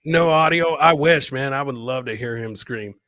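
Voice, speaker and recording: A man's voice: mid-range voice, moderate pace, fairly bright tone, no room sound. A man hosting a comedy podcast with casual, jokey live commentary, often repeating phrases for effect. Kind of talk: casual comic live-stream commentary, reading chat comments aloud.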